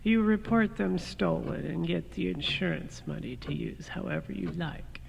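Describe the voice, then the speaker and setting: A man playing a smooth-talking, calculating burglar in a comic radio play, measured and persuasive, with a gravelly voice. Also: sits high. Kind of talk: amateur radio comedy drama acting. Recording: hissy, reverberant hall sound.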